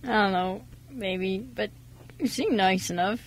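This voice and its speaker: A woman playing an awkward, kindly mechanic in his thirties in an amateur radio drama, casual and hesitant, with a husky voice. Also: medium pitch, measured pace, slight background noise, lightly acted, low-quality recording. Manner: hesitant, self-effacing, casual. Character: awkward, kindly thirty-four-year-old male mechanic.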